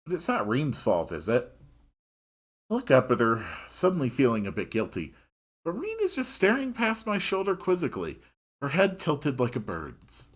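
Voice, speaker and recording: Expressive man narrating, husky, with music behind. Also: medium pitch, moderate pace. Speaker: narrator reading a visual novel aloud. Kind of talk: expressive story narration with character voices.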